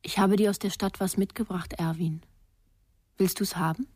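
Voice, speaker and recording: An actress playing an anxious mother coaxing a silent child in a radio drama, hushed, husky and insistent. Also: medium pitch, fairly even intonation, moderate pace, close to an everyday voice. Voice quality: breathy, husky. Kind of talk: German radio drama acting.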